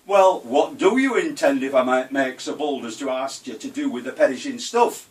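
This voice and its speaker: A man's voice: mid-range voice, moderate pace, recorded from a distance, natural voice. A man doing a jovial shopkeeper. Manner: jovial, wordy, folksy. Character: jovial old-fashioned English sweet-shop keeper.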